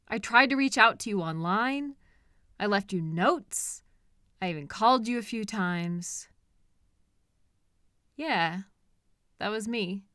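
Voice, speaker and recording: A possessive, delusional female kidnapper played by a woman speaks very slowly in a bright, close and dry voice. She coaxes and soothes with condescending patience, pausing hesitantly as in an intimate roleplay audio drama. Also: mid-range voice, lively intonation, no room sound. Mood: pleading, insistent. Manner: slow, coaxing, patient, condescending, hesitant pauses.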